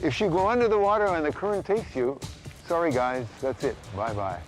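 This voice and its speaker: A man's voice: fairly high pitch, animated, a little fast, faint music behind, rough recording. A man speaking as a team leader in a casual safety briefing.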